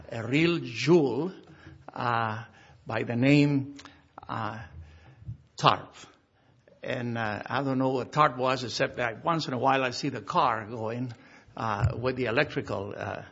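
Halting husky pastor from Argentina. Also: male voice, mid-range voice, a bit bright, lo-fi sound. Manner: halting, with frequent uh fillers. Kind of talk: memorial service address.

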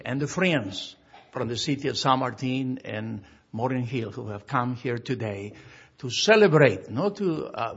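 Pastor from Argentina, middle-aged man, husky voice, halting delivery, muffled lo-fi recording. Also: mid-range voice, fairly bright tone. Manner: halting, with frequent uh fillers. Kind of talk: memorial service address.

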